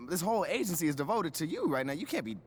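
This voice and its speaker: A man's voice: fairly high pitch, sing-song, rapid-fire, slight background noise. An actor voicing a playful, teasing male sports agent in a video game cutscene, bantering like a big brother.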